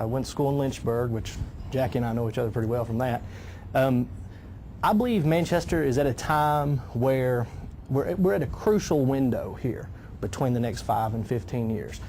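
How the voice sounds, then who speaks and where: Young guy pitching his council run, warm tone, some ums, faint hiss. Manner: earnest, with "um" fillers and repeats. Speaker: city council candidate. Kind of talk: guest on a local talk show.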